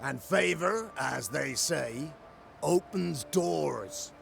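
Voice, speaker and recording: An actor playing a wry, dutiful fort guard in a video game, blunt and folksy with a gravelly voice. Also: male voice, on the high side, animated, very slow, a bit bright, a little hiss or hum, full character voice. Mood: grave, bargaining. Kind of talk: video game cutscene acting.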